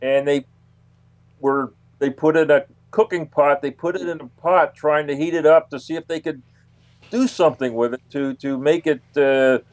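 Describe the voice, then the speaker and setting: A man speaking as an interview guest, recounting anecdotes casually in a halting, hesitant way with long pauses. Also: a little high, steady tone.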